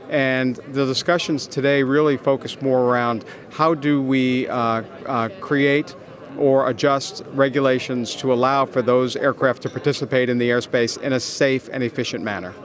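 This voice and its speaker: A man answering interview questions as a business executive, explaining in halting phrases with frequent pauses and repeated words. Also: mid-range voice. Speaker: consultancy executive, interview guest.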